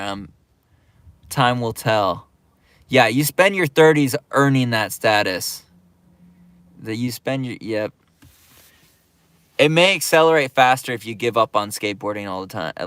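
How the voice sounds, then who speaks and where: Chill skate youtuber guy reading chat out loud on stream, lots of um and like, dry close mic. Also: medium pitch, clear, resonant tone, dry recording. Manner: laid-back, reads viewer comments aloud, lots of fillers. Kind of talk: casual livestream chat.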